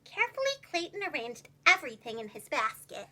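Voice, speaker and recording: A woman with a high, bright voice reads a storybook to young children. Her delivery is expressive and very animated, with each phrase carefully enunciated like a preschool teacher's. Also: a little fast.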